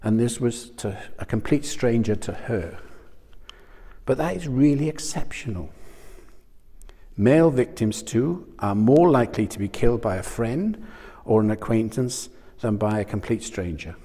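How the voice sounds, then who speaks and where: A man's voice: mid-range voice, a little slow, dark tone, in a large hall. A man gives a conversational sermon on a lapel mic, often asking his listeners questions. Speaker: church speaker. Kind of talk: sermon talk. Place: small church studio room in front of a screen.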